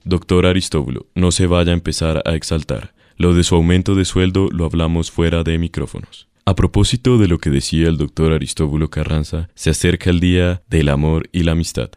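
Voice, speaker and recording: A man's voice: deep voice, steady tone, fairly quick, hardly any pauses, a little mellow, clean tone, no room sound, clean studio sound. A man playing a vain, pompous radio host with a formal announcer's delivery and a Colombian accent. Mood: smooth, controlling. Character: vain, self-satisfied radio host with style. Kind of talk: satirical radio play acting.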